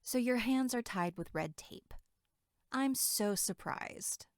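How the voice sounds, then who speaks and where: A woman acting as a sharp-tongued, sarcastic young camp counselor in an audio drama. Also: on the high side, expressive, slow, no room sound, studio quality. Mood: sarcastic disbelief.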